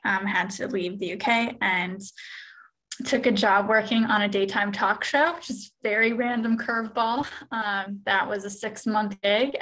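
A woman's voice: medium pitch, fairly bright tone, poor audio. A woman in her twenties, introducing herself on a video call in an upbeat, chatty way. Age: 20s. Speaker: panelist. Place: small room indoors.

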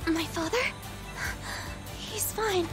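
An actress playing a shy, polite young woman in a video game, timid and halting in her speech. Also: very high voice, music in the background. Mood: polite, unsure. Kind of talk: video game cutscene acting.

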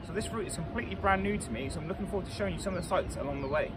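A man's voice: high voice, racing. A man in his thirties narrating a running vlog outdoors on a seaside promenade, chatting like a tour guide into an action camera. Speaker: running vlogger. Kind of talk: casual travel vlog narration. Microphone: action camera held or mounted while running. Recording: loud background music.

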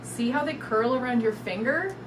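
A middle-aged woman reading a picture book aloud to young children in an animated, sing-song way. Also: fairly high pitch, normal speed. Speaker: storytime reader. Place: indoors in front of a curtain backdrop. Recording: distant mic with clear background noise.

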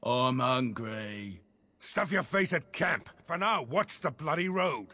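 An actor playing an irritable, gruff male bandit on watch in a fantasy game, scolding in a rough voice. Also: mid-range voice, lively intonation, takes it slowly, pushed and bright, exaggerated voice. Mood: irritated. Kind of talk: fantasy game dialogue acting.

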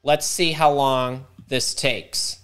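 A middle-aged man hosting a live career workshop over a video call, talking fast and interrupting himself as he thinks aloud. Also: medium pitch, fairly even intonation, a bit bright, smooth. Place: home room indoors. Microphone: video call webcam.

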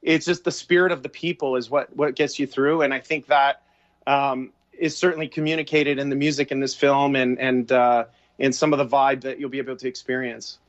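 A man's voice: mid-range voice, flat delivery, fairly quick. Canadian man on a lo-fi video call. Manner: reflective, rambling with restarts. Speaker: film director guest. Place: home room with shelves. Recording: dull lo-fi video call audio.

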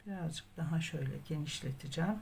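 A woman's voice: low-pitched, quick pace, vocal fry, darkish tone, slight background noise. A woman hosting a crochet tutorial, explaining step by step in a patient, methodical way. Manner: patient, methodical, often counting aloud. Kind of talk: step-by-step craft instruction.

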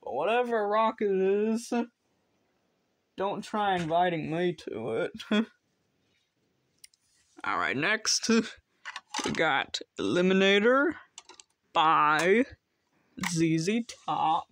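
A vlogger giving casual, rambling unboxing commentary in an offhand, halting way. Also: medium pitch, lively intonation, a bit bright, a little hiss or hum. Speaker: video host showing flea market finds.

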